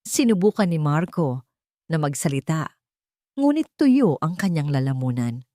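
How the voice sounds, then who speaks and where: A woman narrating a scripted romance story in an emotive, measured storytelling manner. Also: low-pitched, big pitch swings, mellow, no echo, studio quality.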